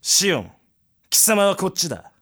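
An actor playing a cruel, overbearing man in authority in an audio drama, sneering and rough-spoken. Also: high voice, big pitch swings, moderate pace, sharp tone, exaggerated voice. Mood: taunting. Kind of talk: Japanese audio drama acting.

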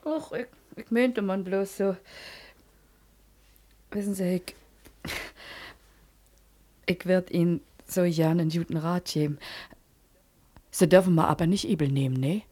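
A woman's voice: fairly low pitch, measured pace, long pauses, mellow. An actress in a German radio play voicing a brash, cunning working-class washerwoman and mother with a Berlin accent, earthy and bossy but able to wheedle. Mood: chummy, confiding. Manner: earthy, scolding, switching to chummy coaxing.

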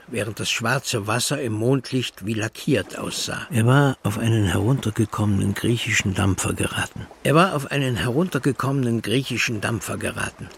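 A man's voice: medium pitch, slight background noise. A man reading literary narration as the narrator of a drama.

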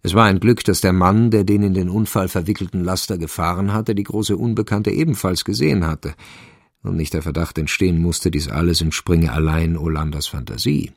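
Male narrator for radio crime drama: low, creaky voice, composed literary delivery, close dry studio sound. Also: a little fast, no echo.

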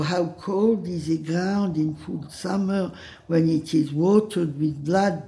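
A man reciting poetry very slowly in a radio production, with drawn-out phrases. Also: high voice, gravelly, a little mellow, heavily acted.

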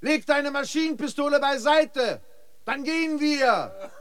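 A man's voice: extremely high pitch, lively intonation, unhurried, fairly bright tone, dry recording, full character voice. An actor in a German radio drama playing a cynical, seasoned male guide who talks in a laconic, knowing way. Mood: calling out. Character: cynical, seasoned male guide, slingshot-proud street survivor. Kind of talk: German radio play acting.

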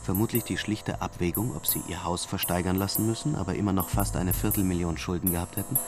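A man's voice: low-pitched, steady tone, fast talker, music in the background, lightly acted. An actor playing an arrogant, cold-blooded man in a radio drama, mock-polite and condescending. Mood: smug, cold. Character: arrogant, cold-blooded male far-right schemer, condescending and menacing. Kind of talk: naturalistic radio drama acting.

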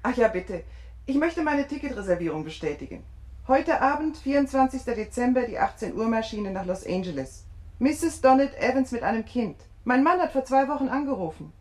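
A woman acting a worried, kindly mother of a small boy in a German radio drama, expressive and concerned. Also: on the high side, a little fast, lightly acted. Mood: dismayed.

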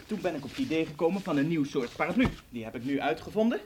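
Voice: medium pitch, expressive, fast, lightly acted. An actor playing an eager, polite young male inventor in a children's audio drama, hesitant and prone to repeating words. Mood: earnest, explaining. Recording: faintly noisy recording with clicks.

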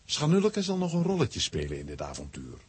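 A man with a husky voice narrating a scripted children's story. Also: medium pitch, huge pitch swings, fairly quick.

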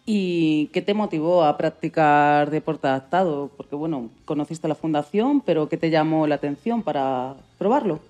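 Friendly woman co-host, relaxed lowish voice doing interview. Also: moderate pace, few pauses, faint music behind.

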